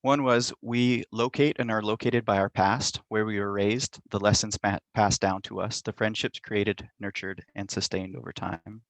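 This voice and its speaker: A middle-aged man speaks in a fairly low voice, recorded dry and close on a computer mic. He presents an academic talk in a measured, thoughtful way, pausing often with ums and uhs. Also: fairly even intonation, dry recording, low-quality recording. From Montana, United States. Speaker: university lecturer. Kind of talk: academic presentation over video call. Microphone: computer mic on a video call.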